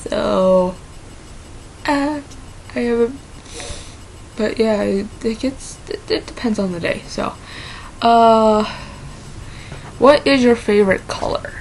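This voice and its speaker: A woman with a mixed Minnesota and Southern accent who answers quiz questions in a casual vlog and talks haltingly with many fillers. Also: fairly high pitch, gravelly, darkish tone, a little hiss or hum, rough recording. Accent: mixed Minnesota and Southern American. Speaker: vlogger.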